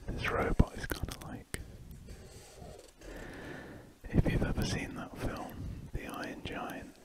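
A British man speaks entirely in a breathy, unvoiced whisper. He rambles slowly and hesitantly in ASMR style, letting his thoughts trail off in short phrases. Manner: slow, hesitant, trailing musings. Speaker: host of a drawing ASMR channel. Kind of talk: ASMR, unscripted rambling while drawing. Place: indoors at a desk.

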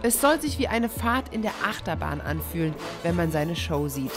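A woman's voice: fairly low pitch, very animated, normal speed, music in the background. A woman reporter narrating a TV culture segment in a chatty, personal voice-over.

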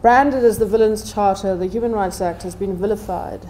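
Mellow, deliberate woman giving a talk. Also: mid-range voice, slight background noise, poor audio. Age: middle-aged. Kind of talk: prepared seminar talk. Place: indoor seminar room, seated at table.

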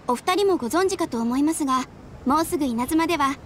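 A woman's voice: high-pitched, expressive, quick pace, slight background noise. A woman voicing a courteous, composed young guild receptionist in a Japanese anime game, with formal, helpful customer-service delivery. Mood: polite, helpful. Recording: music behind the voice.

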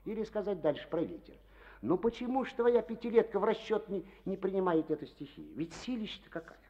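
A man's voice: high voice, big pitch swings, brisk, dark tone. An actor playing a boastful, hearty collective-farm chairman in a Soviet radio play, folksy and exuberant. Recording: dull old archival radio recording.

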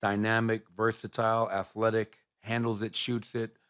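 A middle-aged man with a low voice fields questions from reporters after a game, speaking into a table microphone. His delivery stays flat and level, steady and measured, with plenty of "uh" and "you know" between points. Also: smooth. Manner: measured, even answers with frequent fillers. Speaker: basketball head coach. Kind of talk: postgame press conference answers. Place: press room in front of a sponsor backdrop.

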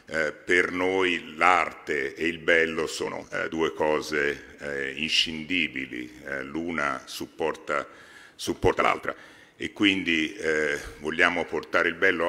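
Very deep-voiced man speaking in a hall. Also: bright, forceful tone. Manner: halting, frequent hesitations. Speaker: foundation president. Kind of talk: formal speech at a podium. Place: large exhibition hall stage. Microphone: podium microphone. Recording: reverberant hall.

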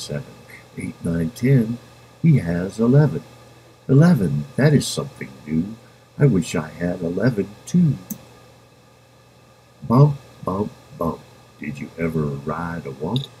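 A man reading a children's rhyming book aloud in a playful, sing-song manner with drawn-out words. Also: low-pitched, dark tone, poor audio. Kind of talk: expressive storybook read-aloud in rhyme. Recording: home recording with background noise.

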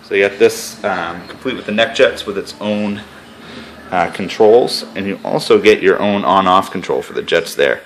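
A man's voice: deep voice, moderate pace, slight background noise. A man with a New England accent giving a casual, filler-heavy sales walkthrough of a hot tub in a spa showroom, speaking from behind a handheld camera. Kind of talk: walkthrough product tour.

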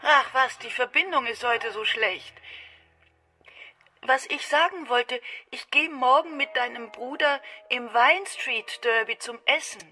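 A demanding older mother in a radio crime play. Her voice is high and piercingly bright, with a fussy, critical manner. Faint music sits behind her. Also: unhurried, full character voice. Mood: chatty, insistent. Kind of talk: German radio crime drama acting.

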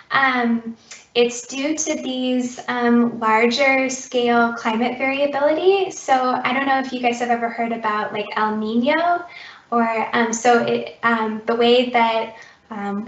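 A woman scientist answering audience questions in a friendly, explaining way. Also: fairly high pitch. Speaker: guest scientist. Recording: distant roomy dull video call.

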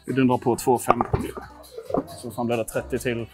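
Male gym vlogger, twenties, from Sweden, average pitch, informal self-deprecating chat with swearing, clear music bed. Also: little pitch movement. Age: 20s. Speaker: vlogger testing his lifts at a gym. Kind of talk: casual vlog chat to viewers. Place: indoor gym.

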